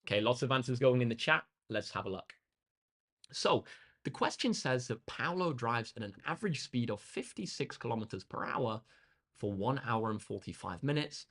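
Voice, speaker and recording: Slightly hoarse young male maths tutor. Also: mid-range voice, animated, moderate pace, fairly bright tone. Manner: upbeat, chatty, reads out chat comments. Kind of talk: live-streamed exam walkthrough.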